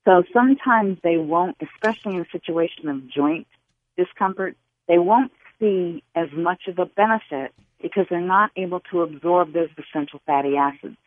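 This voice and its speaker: A woman guest expert giving health advice to callers on a call-in radio show, explaining in a steady, instructive way. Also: lowish voice, normal speed, dry recording. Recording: phone-line sound.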